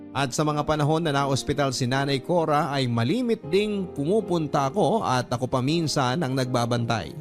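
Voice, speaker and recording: A man narrating a radio drama as scripted, first-person dramatic storytelling. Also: medium pitch. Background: background music.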